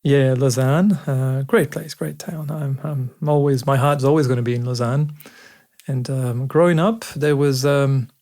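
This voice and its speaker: Swiss guy in his 40s, gravelly voice, easygoing chat w lots of ums. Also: medium pitch, measured pace. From Switzerland. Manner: relaxed, thoughtful, many fillers. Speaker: guest, sports doctor. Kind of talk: casual conversation. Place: home, indoors.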